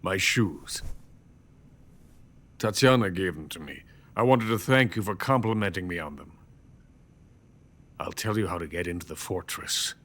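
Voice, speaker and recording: An actor playing a weary, proud older Soviet scientist, speaking in a deliberate, grandiose way in a dramatic video game. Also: male voice, sits low, expressive, a bit bright, full character voice. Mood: urgent, instructive.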